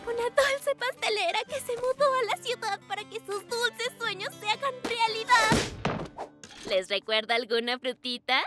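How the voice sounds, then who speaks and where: Optimistic cartoon girl, very high pitch, Latin American Spanish accent, animated delivery, perky. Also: normal speed. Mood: excited. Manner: perky, eager, expressive. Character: upbeat, optimistic young girl baker, female. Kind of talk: animated children's cartoon dub. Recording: music playing behind the voice.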